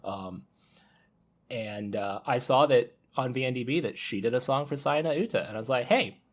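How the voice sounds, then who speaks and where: A man on a podcast panel sharing casual anecdotes, pausing often with ums. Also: medium pitch, animated.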